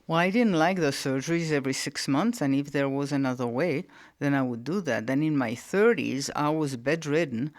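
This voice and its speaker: A woman's voice: low voice, normal speed. A woman in her sixties from French-speaking Switzerland, with a mixed French and Indian English accent, telling her story as a guest in an interview. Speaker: guest, naturopathic doctor. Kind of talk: interview conversation.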